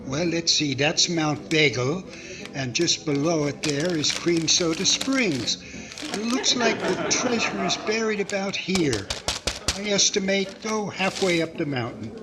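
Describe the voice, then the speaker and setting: Radio-comedy cowboy , man acting: earnest, self-assured, slightly high pitch, musical backing. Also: animated, unhurried, loud music behind the voice, low-quality recording. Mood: confident. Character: earnest, confident cowboy family man, map reader.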